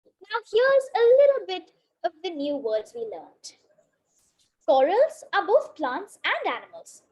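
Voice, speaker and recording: A woman's voice: very high voice, a little mellow, low-quality recording. A female reader reading a children's picture book aloud in a careful way, now and then tripping on a word. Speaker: story reader.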